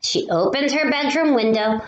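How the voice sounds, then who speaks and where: A woman reading a children's storybook aloud expressively, with animated, drawn-out emphasis. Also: high voice. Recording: roomy echo with faint noise.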